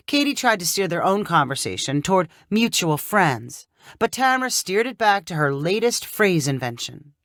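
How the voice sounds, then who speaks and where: Expressive woman narrator with lively pitch. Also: normal speed, dry recording, clean studio sound. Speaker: story narrator. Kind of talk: scripted short story narration.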